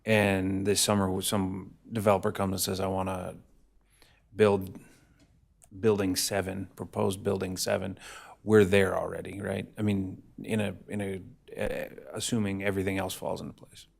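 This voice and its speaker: A man's voice: sits low, level tone, moderate pace, vocal fry. A man serving on a city council, asking plainspoken questions during a public meeting in the council chamber. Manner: plainspoken, asks for things in simple terms.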